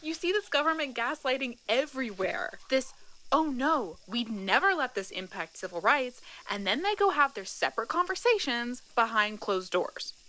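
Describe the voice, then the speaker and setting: Indignant female commentator, high and forceful, emphatic audio-drama delivery. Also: expressive, normal speed, a little hiss or hum, poor audio. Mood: indignant, urgent.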